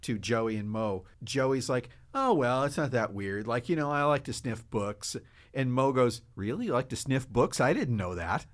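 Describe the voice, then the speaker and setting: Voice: mid-range voice, lively intonation, moderate pace. A podcast panelist chatting casually with friends about a story, retelling moments from it. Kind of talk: casual animated group chat.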